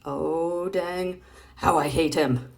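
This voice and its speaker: A woman voicing a falsely cheery little boy from a comic strip, read aloud with drawn-out, exaggerated words. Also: low voice, steady tone, vocal fry, full character voice. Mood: cheery turning spiteful.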